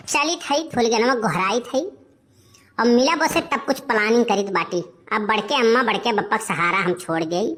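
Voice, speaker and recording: A woman's voice: fairly high pitch, fairly bright tone, natural voice. A woman voicing a cheeky, lovesick, idle young village man in a comic cartoon, whining and arguing in an Awadhi accent.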